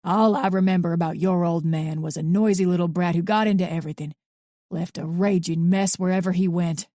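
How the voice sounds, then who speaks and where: A woman voicing a bitter, mean old man in his eighties who sneers and talks in rough country grammar. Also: lowish voice, fairly even intonation, brisk, warm tone, no room sound, studio quality, natural voice.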